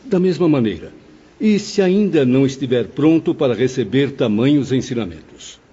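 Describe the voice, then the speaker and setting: A man's voice: medium pitch, lively intonation, moderate pace, warm tone, breathy edge. A man playing an anxious, doubting spirit pupil, questioning uncertainly in a dramatised audiobook. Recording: muffled, reverberant hall sound.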